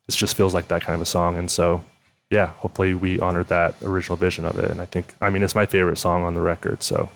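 A man with a very deep voice talks candidly about his own life in an interview. He plays guitar in a band, and his manner is earnest and open. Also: level tone, fast talker, creaky. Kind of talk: interview answer.

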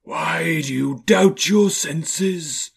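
Slow, breathy, solemn male ghost. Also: on the high side, voice pushed up, heavily acted. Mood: grave, probing. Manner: slow, drawn-out, deliberate delivery. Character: ghost of a dead business partner, solemn, unearthly.

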